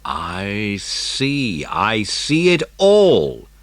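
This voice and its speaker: A man playing an authoritative police chief, measured and emphatic, in a dramatised children's audiobook. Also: on the low side, wildly swooping pitch, dry recording, heavily acted. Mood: intrigued.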